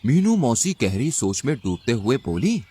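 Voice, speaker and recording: Animated male storybook narrator. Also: mid-range voice, moderate pace, slightly rough. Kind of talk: scripted children's story narration.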